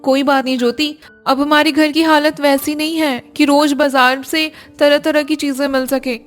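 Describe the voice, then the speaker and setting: A woman voicing a sensible, kind elder sister-in-law in a family cartoon, gentle and reassuring. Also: sits high, level tone, normal speed, faint music behind, natural voice.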